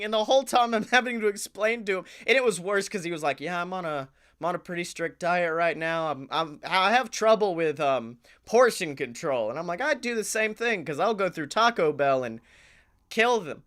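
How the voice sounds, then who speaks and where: An American man with a bright, forward voice hosts a comedy podcast. He tells casual, funny stories in an animated way, swearing freely and chuckling as he talks. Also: sits high, normal speed. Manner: animated, swearing, often chuckling while talking. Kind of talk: casual comic storytelling.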